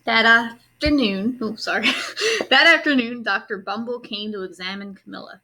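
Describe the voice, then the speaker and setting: Casting: woman storyteller-teacher, slightly high voice, expressive read-aloud for kids with instructive asides. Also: slight background noise. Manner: instructive, pauses to ask and explain. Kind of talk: expressive children's story read-aloud with questions to young listeners.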